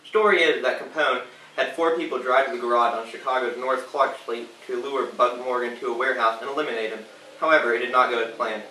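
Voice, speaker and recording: A man's voice: mid-range voice, steady tone, fairly quick, sharp tone, natural voice. A man playing an earnest 1920s radio news anchor, reading a scripted bulletin in an amateur period skit. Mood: earnest, newsy. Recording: distant, echoey room with faint noise.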